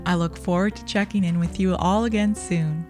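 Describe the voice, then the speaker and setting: A woman presenting a scripted podcast review in a measured, clearly phrased way as the host. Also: fairly low pitch, fairly even intonation, darkish tone, faint music behind.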